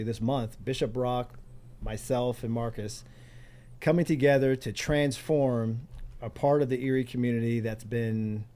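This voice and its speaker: A middle-aged or older man with a soft, mellow voice at an average pitch. He answers conversationally as a guest on a talk show. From Pennsylvania, United States. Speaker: interview guest, community organizer. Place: radio studio.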